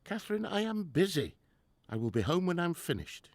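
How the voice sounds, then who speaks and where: A man's voice: high voice, very animated, moderate pace, heavy background noise. An actor playing a stern, driven widowed professor and father in a 1920s radio drama, with a formal, precise delivery. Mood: irritated, stern. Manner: formal, precise, clipped scolding. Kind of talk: radio drama acting, diary narration. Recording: music under the voice.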